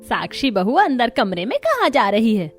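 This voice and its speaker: A woman's voice: on the high side, huge pitch swings, moderate pace, soft background music. A woman voicing a greedy, boastful, bossy older mother-in-law in a Hindi cartoon story, with a scolding, emphatic manner.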